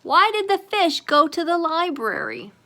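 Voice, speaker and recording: A woman's voice: very high voice, big pitch swings, unhurried, pitched above the performer's own voice, full character voice. A woman voicing a gleeful boy classmate who loves telling jokes in a children's storybook. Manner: gleeful, loud.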